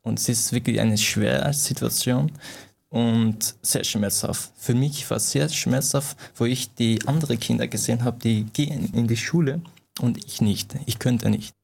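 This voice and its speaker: A man's voice: mid-range voice, moderate pace, creaky, darkish tone, breathy edge. A young man who grew up in Iran, speaking German as an in an interview, hesitant and full of fillers and restarts. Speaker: guest, martial arts coach.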